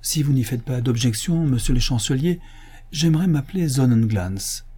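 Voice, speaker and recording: A humble, thrifty father from a storybook, played by a male narrator. He pleads earnestly and deferentially, in a plaintive voice lifted in pitch and a little husky. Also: lively intonation, moderate pace, warm tone. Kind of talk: audiobook character acting.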